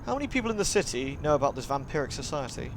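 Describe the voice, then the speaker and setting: Higher-pitched man narrating a game livestream. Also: very animated, rapid-fire, slight background noise. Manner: dramatic storytelling narration, breaking into playful chatty asides. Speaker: live streamer. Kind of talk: reading a visual novel aloud with casual asides to chat.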